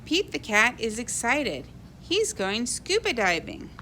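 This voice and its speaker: Animated, bright-voiced woman reading a storybook. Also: a little high, a little hiss or hum. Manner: slow, animated delivery for young children. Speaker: children's picture book reader. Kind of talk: expressive read-aloud storybook narration.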